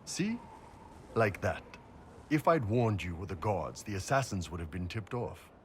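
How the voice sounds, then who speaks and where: Mercenary brute for a fantasy game: deep-set, gruff, blunt, loyal, over faint noise. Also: male voice, big pitch swings, measured pace. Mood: wry. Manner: blunt, wry, swearing soldier. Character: big gruff horned mercenary warrior, wry and loyal. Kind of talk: video game cutscene dialogue.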